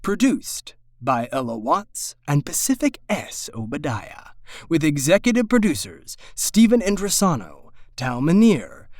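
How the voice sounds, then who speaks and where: Lively man reading credits, very dry sound. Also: a little high, measured pace, no echo. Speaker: credits announcer.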